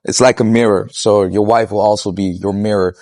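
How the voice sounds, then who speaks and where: A young man from Curacao talking outdoors on a city street as an interviewee, relaxed and blunt, swearing freely and laughing as he talks. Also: fairly low pitch, brisk. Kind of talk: casual street conversation.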